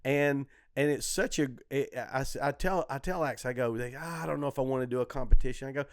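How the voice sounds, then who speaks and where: A man's voice: mid-range voice, fast. A man hosting a podcast in casual conversation, rambling and repeating himself with salty language. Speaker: podcast host. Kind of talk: casual podcast chat about comedy.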